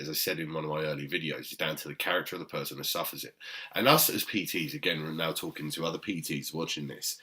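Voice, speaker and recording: A British man, a personal trainer with a very deep voice, talking emphatically to camera with frequent "okay?" asides and fillers. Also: sharp tone. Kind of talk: unscripted talk to camera.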